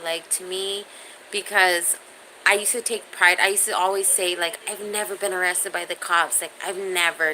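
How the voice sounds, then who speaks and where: A woman's voice: fairly low pitch, sharp tone, poor audio. A woman in her thirties chatting casually on a livestream, talking straight to viewers and reading their comments, with slangy, profane language. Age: 30s. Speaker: live streamer chatting with viewers. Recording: faint background noise.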